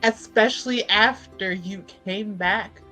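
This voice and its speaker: A woman narrating a character in a tabletop roleplaying game, casually improvising in a halting, emphatic, conversational way. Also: mid-range voice, bright, forceful tone, faint music behind.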